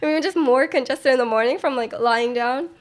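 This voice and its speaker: A woman's voice: sits high, fairly quick. Congested young woman chatting casually. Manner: rambling, many "like" fillers, soft laughs. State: congested, nasal from a cold. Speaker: streamer. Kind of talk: casual chat to live chat.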